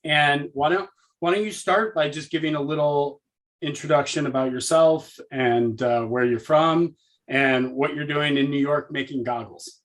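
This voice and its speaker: A man's voice: a little high, moderate pace. A man hosting a live interview, asking questions in a friendly, upbeat way. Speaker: host.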